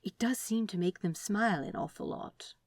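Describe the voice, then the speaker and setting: A woman's voice: mid-range voice, normal speed, dry recording, studio quality, close to an everyday voice. A woman voicing a prim, curious male angel with a hesitant, polite, fussy way of talking in a story reading. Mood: tentative.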